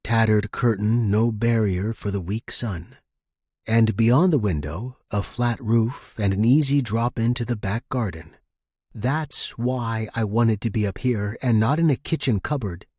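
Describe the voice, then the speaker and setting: A man's voice: fairly low pitch, measured pace, a little mellow, breathy edge, no room sound, studio quality. A man hosting a fiction podcast, chatting informally and reading aloud. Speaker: podcast host and story reader. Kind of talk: casual podcast intro and dramatic story narration.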